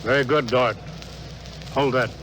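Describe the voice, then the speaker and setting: A man's voice: mid-range voice, fairly even intonation, a bit bright, lightly acted. Man playing a commanding starship captain, noisy old radio. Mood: brisk, commanding. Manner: authoritative, deliberate. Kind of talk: 1950s radio drama acting.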